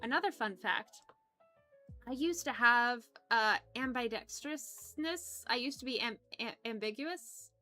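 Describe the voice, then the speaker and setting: A woman's voice: a little high, expressive, unhurried, bright, forceful tone, soft background music. A young woman streaming, chatting casually with her viewers in a rambling, giggly way that often trips over words. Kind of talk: casual chat with live chat.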